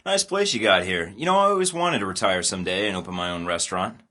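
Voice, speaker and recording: A sardonic secret agent, his voice very low yet bright and pushed forward. He trades dry, sarcastic quips in clean studio game voice lines. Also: expressive, quick pace. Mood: wry. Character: wry male spy agent, adult. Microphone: studio mic.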